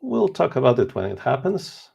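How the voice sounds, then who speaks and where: A man in his forties from Poland, whose first language is Polish, speaks with a gravelly, creaky edge at a middling pitch. He talks earnestly and openly as a guest author in a casual interview. He is candid and a little self-deprecating. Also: huge pitch swings, moderate pace, a little mellow. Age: 40s.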